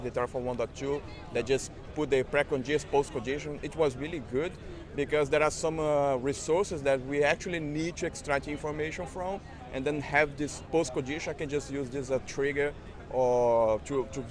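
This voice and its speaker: A man's voice: on the high side, normal speed. A man at a conference talking casually about the tools he uses, with many fillers and restarts. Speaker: conference attendee. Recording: busy crowd noise behind. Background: crowd chatter.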